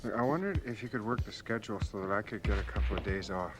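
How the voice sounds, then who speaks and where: A man's voice: on the low side, fast talker, over music, natural voice. An actor playing a forgetful, shaky man in a 1980s radio drama, hesitant and conversational. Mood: uneasy, hesitant. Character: forgetful, shaky man calmly losing hold of reality.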